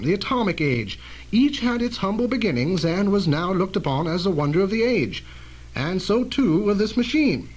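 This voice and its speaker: A man acting as an earnest older scientist in a radio play. His high voice swings expressively as he speaks in formal, measured, admonishing phrases. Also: low-quality recording. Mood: proud, lofty. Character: earnest, cautious older male scientist, protective mentor. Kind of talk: old-fashioned radio drama acting.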